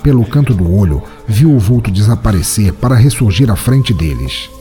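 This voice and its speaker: A man's voice: lowish voice, very animated, moderate pace. A man giving dramatic, suspenseful audiobook narration. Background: soft background music.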